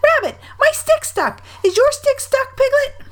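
A tiny, excitable young animal boy, acted out by a woman for a children's storybook. The voice is extremely high and squeaky, full of eager exclamations and swooping with excitement. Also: unhurried, full character voice. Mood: excited, eager.

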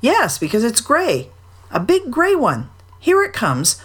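A woman's voice: on the high side, huge pitch swings, unhurried, slightly rough, voice pushed up, heavily acted. Woman doing an earnest, raised-voice storybook bear. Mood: earnest, excited. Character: earnest, simple-hearted male bear, kindly and hesitant. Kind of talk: children's storybook reading.

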